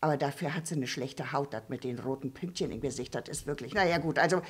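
A woman's voice: rumbling low, fast, breathy edge, voice pushed down. A woman voicing a gossipy old female puppet who rambles, stammers and restarts her sentences. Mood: rambling. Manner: stammering, full of fillers and restarts. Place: dark theatre stage.

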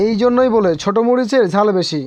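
A man's voice: very high voice, a little fast, over loud music. A man doing slangy, mocking cartoon recap narration, speaking to viewers as guys, in a muffled, noisy recording.

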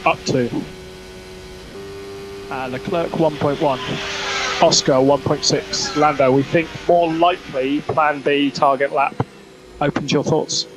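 A man's voice: on the high side, fairly even intonation, measured pace, pauses often. A man working as a race engineer, giving terse, clipped technical instructions over team radio. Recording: noisy motorsport team radio.

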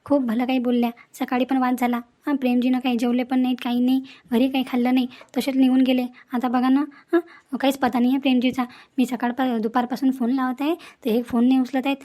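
A woman playing an anxious, soft-hearted village woman in a cartoon family drama, fretful and pleading. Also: sits high, flat delivery, fast talker, close to an everyday voice.

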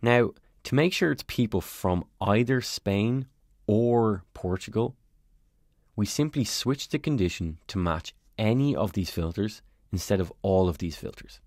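A man calmly narrating a step-by-step software tutorial as a voice-over on a screen recording. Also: fairly low pitch, a little slow, no room sound, clean studio sound. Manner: calm, step-by-step explanatory.

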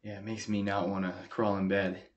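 An actor playing an anxious, deferential young war veteran with an American accent, speaking haltingly in a therapy interview drama. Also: male voice, fairly low pitch, level tone, lo-fi sound, lightly acted. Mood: uneasy, halting. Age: young adult. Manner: halting, subdued. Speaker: actor as patient. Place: small furnished room, indoors.

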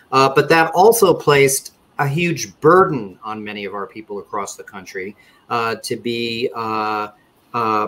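A middle-aged man giving a webinar presentation on a video call, speaking in a measured way with frequent fillers and restarts. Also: mid-range voice, fairly bright tone, poor audio. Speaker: conference panelist presenting survey results. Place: indoor office or home room.

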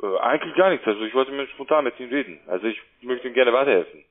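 Halting male caller on a tinny line. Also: mid-range voice, animated. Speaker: cold caller. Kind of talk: unscripted phone sales call. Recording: narrow, tinny phone line.

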